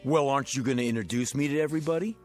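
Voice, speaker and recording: A man's voice: mid-range voice, very fast, faint music behind, close to an everyday voice. An actor playing a brash, streetwise gambler and con man in a radio drama. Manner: streetwise, wisecracking.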